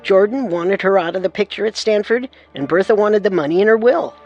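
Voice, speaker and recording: A man narrating a true-crime radio story in an earnest, assertive way over soft music. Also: high voice, a little fast, lo-fi sound. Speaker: narrator and co-host.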